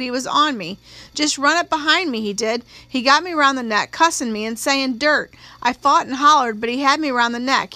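Audiobook role: woman reader as scared, defensive country girl, nineteen, bright high voice, folksy grammar. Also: dry recording. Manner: folksy, ungrammatical country speech. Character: young rural girl of nineteen, fearful, tearful, stubbornly defensive. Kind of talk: acted audiobook dialogue.

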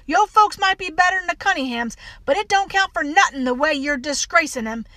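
Audiobook role: bossy Southern housekeeper, acted by a woman, bright high voice, sharp and scolding. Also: animated, a little fast, no echo. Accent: American South. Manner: sharp, commanding, folksy.

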